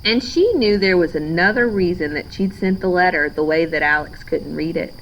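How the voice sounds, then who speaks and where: A woman reading a children's storybook aloud in a measured, expressive way. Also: lowish voice, lo-fi sound, slight background noise. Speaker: storybook reader. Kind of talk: scripted children's story narration, read aloud.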